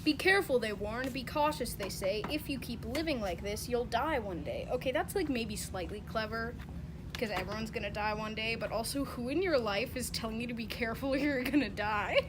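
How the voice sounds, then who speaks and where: A woman's voice: on the high side, a little fast. A young woman casually critiquing and reading poems among friends, blunt, sweary and sarcastic. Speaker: amateur poetry critic. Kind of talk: casual mocking commentary and dramatic poem reading among friends. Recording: noisy informal group recording.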